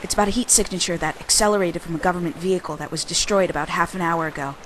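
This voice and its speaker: A woman playing a wry, brisk government agent in charge in a fan audio drama. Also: lowish voice, fairly even intonation, noisy background, lightly acted. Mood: businesslike.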